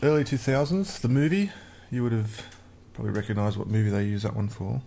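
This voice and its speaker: Dry-witted low-voiced radio co-host. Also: male voice, fairly quick, creaky, dark tone, slight background noise. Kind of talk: casual banter.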